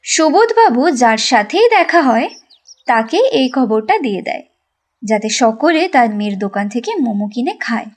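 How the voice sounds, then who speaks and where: A woman narrating a cartoon story in a lively, sing-song way. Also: on the high side, normal speed.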